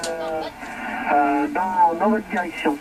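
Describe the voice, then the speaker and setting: A man's voice: on the high side, takes it slowly, music in the background. A chatty, rambling man from Champagne-Ardenne in France, talking casually as an amateur radio operator. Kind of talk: casual ham radio chat. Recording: crackly, noisy radio transmission. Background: electrical crackle and static.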